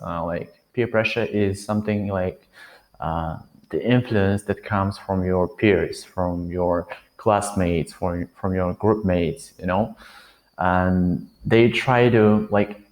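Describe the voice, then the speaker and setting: A man's voice: fairly low pitch, darkish tone. A nineteen-year-old man giving unscripted advice to the camera, hesitating often and looking around while he thinks. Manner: hesitant, many fillers and restarts. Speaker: vlogger. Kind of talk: unscripted talking-head advice.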